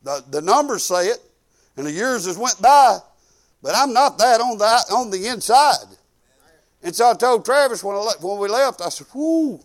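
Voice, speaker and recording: Man, fifties, Southern US accent, high voice, informal preaching, folksy, emphatic, loud-and-soft delivery. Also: animated, normal speed. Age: 50s. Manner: folksy and conversational, peppered with praise exclamations; emphatic, swinging between quiet asides and loud declarations. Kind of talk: informal sermon with personal anecdotes and prayer.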